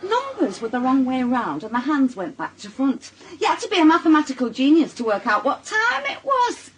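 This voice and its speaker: An actress playing a chatty, scatty, well-meaning friend with a Northern English accent, rambling and gushing in a radio drama. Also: female voice, high voice, big pitch swings, a little fast, rough recording. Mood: chatty, amused. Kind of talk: naturalistic radio drama acting.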